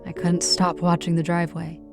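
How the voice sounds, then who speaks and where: A woman's voice: on the low side, completely flat delivery, fairly quick, gravelly, over loud music. A woman playing a hurt, stubborn young woman with a dry, pointed manner, in naturalistic audio drama style. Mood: brooding narration.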